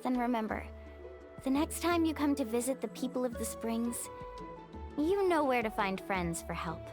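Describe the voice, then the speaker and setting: High, upbeat young adventurer woman played by an actress. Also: expressive, normal speed, music in the background, close to an everyday voice. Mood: warm, sincere. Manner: friendly, sincere. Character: upbeat friendly young female adventurer, sincere. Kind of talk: video game voice acting.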